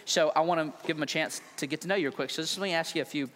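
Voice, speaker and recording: An energetic, joking man, a pastor, interviewing a colleague on stage with loud emphatic bursts. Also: on the high side, racing. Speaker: lead pastor. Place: large church hall. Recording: reverberant hall, faint noise.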